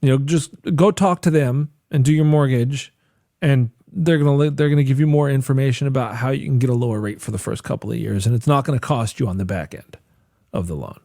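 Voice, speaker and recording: A man's voice: mid-range voice, brisk, vocal fry, dark tone, no room sound, studio quality. A young man co-hosting a mortgage podcast, explaining things in an easygoing, joking way. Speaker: podcast co-host, loan officer. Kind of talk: casual chat.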